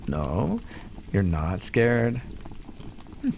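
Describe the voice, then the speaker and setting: A man playing a calm, condescending vampire captor in an ASMR roleplay, teasing and coaxing as he talks. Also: fairly low pitch, very slow, vocal fry, slight background noise, exaggerated voice. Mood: taunting. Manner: teasing, patronizing, coaxing, hesitant stammers. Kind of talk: ASMR roleplay, scripted monologue.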